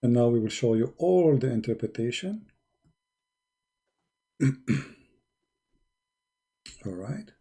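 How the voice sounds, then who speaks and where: A man's voice: mid-range voice, animated, slow, pauses often, mellow, slightly rough. A man hosting a live-stream debate with an Arabic accent, insistently reading out sources and talking over his caller.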